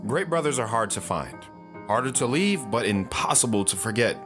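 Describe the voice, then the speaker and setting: Guy playing a steady philosophical friend in an audio drama, mid voice, pitch moves a lot, soft music behind. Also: moderate pace, natural voice. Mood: wistful, philosophical. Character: steady, philosophical male friend giving folksy counsel.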